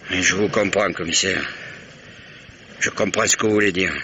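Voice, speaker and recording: A man's voice: deep voice, fairly even intonation, moderate pace, highs cut off, noisy background. An actor playing an evasive, blustering old local man in a 1950s-style radio drama. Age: older. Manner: blustering, dodging questions, grumbling.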